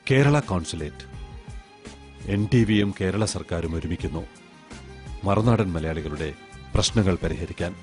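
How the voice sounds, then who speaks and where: A man's voice: deep voice, animated, unhurried, long pauses, soft background music. A man reading a scripted television promo as its announcer.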